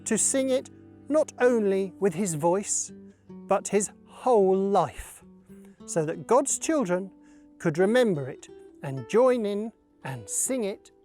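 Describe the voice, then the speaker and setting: Female children's storyteller: very slow delivery, lively melodic range, light music bed. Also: medium pitch. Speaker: story reader.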